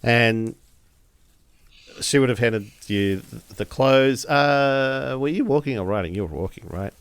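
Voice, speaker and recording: A man's voice: mid-range voice, a little slow, smooth, dry recording. A man playing a tabletop role-playing game, narrating casually and hesitantly as he pieces his sentences together.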